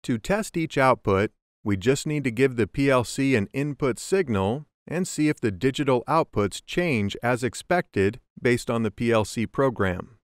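Male narrator for instructional voice-over. Medium pitch, scripted, orderly delivery, dry studio-style sound. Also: lively intonation, normal speed, few pauses, no room sound. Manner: clear, methodical explaining. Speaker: tutorial narrator. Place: close, dry voice-over recording.

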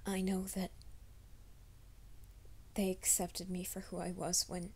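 A woman voicing a troubled young man who hides a werewolf nature, in a soft, hushed ASMR roleplay, often whispering. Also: on the low side, completely flat delivery, slow pace, breathy edge, full character voice. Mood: anxious whisper. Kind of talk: fan audio drama, ASMR roleplay.

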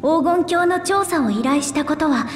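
An actress playing a small, polite young scholar envoy in a video game, speaking formally with earnest determination. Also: female voice, sits high, normal speed, close to an everyday voice. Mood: earnest, solemn. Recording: echoey hall reverb, music behind.

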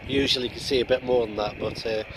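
A man in his forties talks in a casual, halting way, as a radio hobbyist would in a vlog. He is outdoors on an open hillside, with a medium pitch and noticeable noise behind the voice. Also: fairly even intonation. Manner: halting, hesitant delivery. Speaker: amateur radio vlogger. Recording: noisy outdoor ambience.

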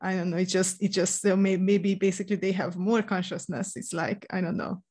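Woman on a headset call just thinking out loud, lots of you know's. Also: on the low side, flat delivery, brisk. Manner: thinking aloud, many "you know" and "I don't know". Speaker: podcast co-host. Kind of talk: academic discussion over a video call. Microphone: headset on a video call.

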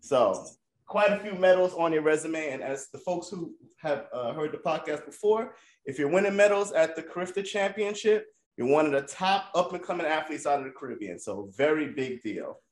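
A man's voice: a little high, animated, moderate pace. A man hosting a sports interview podcast, upbeat and joking as he reads out his guest's achievements. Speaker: podcast host. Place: indoor room with brick wall.

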